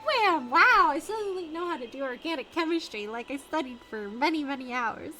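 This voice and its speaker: A woman livestreaming a game, chatting in an excitable, giggly way with squeals. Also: high voice, a little fast, noisy background. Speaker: game streamer. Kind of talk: livestream commentary and reading game dialogue aloud. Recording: game music behind the voice. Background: game music.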